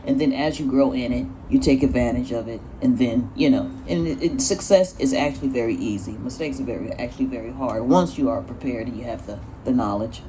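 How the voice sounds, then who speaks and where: A panelist talks at length in a rambling, stop-start way, often backing up to begin a phrase again. The voice is mellow and sits in a middle pitch. A faint hum of noise sits behind it. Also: fairly quick, few pauses, poor audio. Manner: rambling, with frequent stumbles and restarts. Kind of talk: panel discussion.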